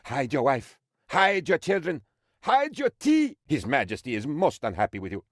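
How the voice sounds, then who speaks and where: An actor playing an excitable, sycophantic political aide to an island dictator in a comic video game, with a theatrical, exaggerated delivery. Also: male voice, mid-range voice, moderate pace, dry recording, studio quality. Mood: indignant, mocking. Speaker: adviser character briefing the player.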